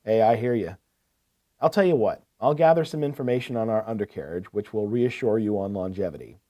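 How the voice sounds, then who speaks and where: A man's voice: on the low side, lively intonation, a little fast, dark tone, lightly acted. A man playing a courteous, attentive equipment salesman in a scripted business phone call, asking probing questions. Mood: businesslike, helpful. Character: courteous, eager male heavy-equipment salesman.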